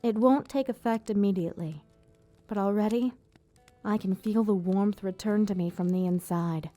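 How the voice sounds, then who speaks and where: A woman playing an elegant vampire ruler, proud yet tender, speaking in formal, measured phrases in an ASMR roleplay. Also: mid-range voice, warm tone, faint music behind, lightly acted. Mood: tender, grateful. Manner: formal, measured, theatrical diction. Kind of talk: ASMR roleplay, scripted monologue to listener.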